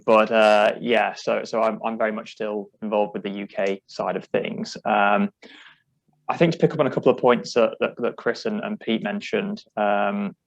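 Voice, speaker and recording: A man's voice: fairly low pitch, gravelly. A man from the UK with a British accent, speaking as a panelist in a discussion, hesitant with many restarts. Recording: dull lo-fi audio.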